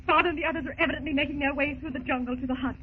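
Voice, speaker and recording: An actress playing an eager, plucky young adventure heroine in a 1930s radio serial. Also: female voice, high voice, steady tone, rapid-fire, lightly acted. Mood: anxious, earnest. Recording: tinny, hissy 1930s radio recording.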